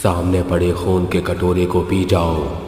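A booming demon god. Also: male voice, monotone, darkish tone, soft background music. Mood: solemn. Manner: slow, grave pronouncements. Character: towering demon god, solemn and commanding. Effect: echoing reverb. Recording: heavy echo reverb.

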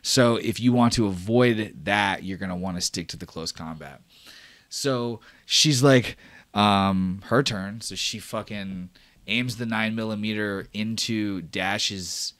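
A man's voice: on the low side, no room sound. A man narrating an improvised tabletop sci-fi horror game as game master, in a casual, profane, dramatic way.

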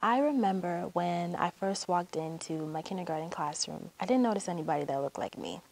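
A young woman performing a personal monologue in a lively, amused storytelling manner. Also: fairly low pitch, brisk, a little hiss or hum, poor audio. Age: young adult. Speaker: student storyteller. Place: indoor studio with painted backdrop.

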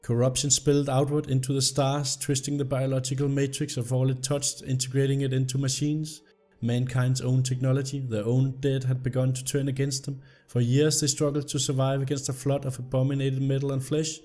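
Slightly hoarse man commenting on gameplay. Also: medium pitch, fairly quick, hardly any pauses, faint music behind. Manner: reads the story text aloud in an even narrating tone. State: hoarse from illness. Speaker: gameplay video host.

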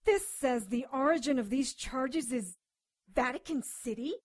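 A feisty, defiant doctor in a supernatural radio drama. Her voice is fairly high and recorded dry and close, with light music at times. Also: unhurried, dry recording. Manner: defiant, outspoken. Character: feisty, exasperated woman doctor narrating her own supernatural case. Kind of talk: radio drama acting with first-person narration.

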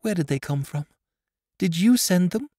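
A man's voice: sits high, animated, moderate pace, mellow, no room sound, studio quality, pitched above the performer's own voice. A man voicing a young blind woman who pleads in a raised, strained voice. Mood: pleading.

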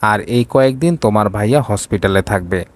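A man's voice: fairly low pitch, normal speed, lightly acted. A man voicing a curt, commanding young rich businessman in an audio romance story. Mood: businesslike.

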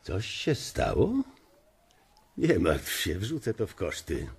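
A man's voice: low voice, sing-song, slow pace, slightly rough, exaggerated voice. A man playing a smug, mocking banking boss in a radio drama, drawling with condescension. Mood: smug, amused.

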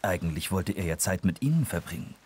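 Low-voiced male storybook narrator. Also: lively intonation, fast talker, darkish tone, a little hiss or hum. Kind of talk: scripted children's story narration.

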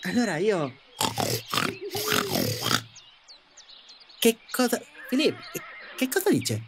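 A man's voice: high voice, huge pitch swings, moderate pace, very noisy, exaggerated voice. A man acting a wistful, reflective and hesitant passenger in the afterlife, in an audio drama. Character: wistful male passenger in the afterlife, longing for love. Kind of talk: audio drama narration and dialogue.

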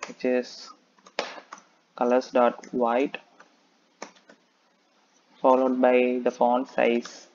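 A man's voice: medium pitch, darkish tone, highs cut off. A man talking through a coding tutorial, explaining step by step and thinking aloud with frequent "okay". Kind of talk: screen-recorded coding tutorial.

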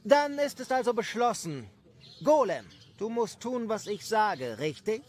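An actor playing a charming, scheming noble count in an audio story, speaking in a courtly, flattering, effusive manner. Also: male voice, high-pitched, a little slow, a little hiss or hum. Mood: smug, delighted.

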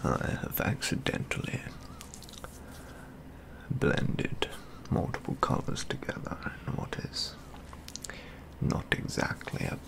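A young man in his twenties playing a pompous, fussy model painter in a soft-spoken ASMR roleplay, whispering breathily in slow, ornate, halting phrases close to the mic. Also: lightly acted. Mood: absorbed, fussy. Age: 20s. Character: pompous, rapturous male model painter and collector, fussy aesthete. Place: indoor studio with plain backdrop. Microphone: close ASMR microphone.

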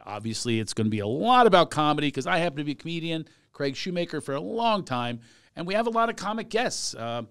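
A middle-aged man hosting a podcast in a small studio, animated and quick to laugh, talking close to a boom mic. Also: clean tone, no echo. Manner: animated, laughs often, does voices. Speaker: podcast host. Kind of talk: casual chat. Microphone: studio mic on boom arm, headphones.

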